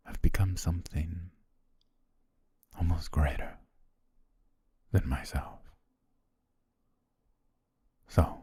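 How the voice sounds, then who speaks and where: Guy acting as a super husky low possessive butler, asmr roleplay, formal n lovesick, real slow. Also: no echo, full character voice. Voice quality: husky, breathy, near-whisper. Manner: formal, ornate, hushed diction.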